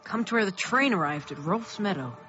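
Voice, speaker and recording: A woman's voice: medium pitch, animated, fairly quick, natural voice. A woman playing an anxious, cautious thirteen-year-old small-town boy in a radio drama, earnest and hesitant. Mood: hushed awe. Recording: dull lo-fi audio under loud music.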